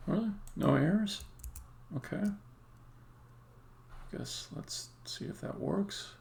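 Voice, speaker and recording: A game developer live-streaming a coding session, thinking aloud and muttering to themselves in halting phrases. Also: medium pitch, animated, gravelly, darkish tone. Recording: faint background noise, keyboard typing. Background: computer keyboard typing and clicking.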